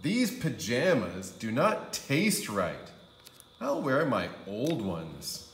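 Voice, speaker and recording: A man voicing a picky little boy in a storybook, exclaiming and drawing out his words deliberately. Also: mid-range voice, wildly swooping pitch, fairly bright tone, roomy sound, full character voice. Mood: disgusted.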